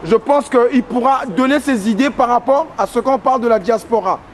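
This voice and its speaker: A man's voice: very high voice, moderate pace. A man on the street eagerly introducing someone to the interviewer. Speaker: bystander introducing a guest. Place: city street outdoors.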